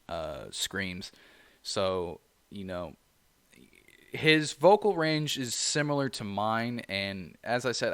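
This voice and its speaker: A man with a low, smooth, resonant voice talks in a loose, rambling way, leaning on "you know" between thoughts. His tone is bright and forward. The sound is dry and close, with no room echo. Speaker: podcast co-host. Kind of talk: casual chat.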